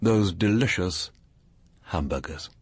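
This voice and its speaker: An actor playing an urbane, witty, middle-aged English playwright in a radio drama, speaking in a suave, clipped, dryly ironic way. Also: male voice, low-pitched, lively intonation, slow, breathy edge, dry recording, full character voice. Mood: sly, composed.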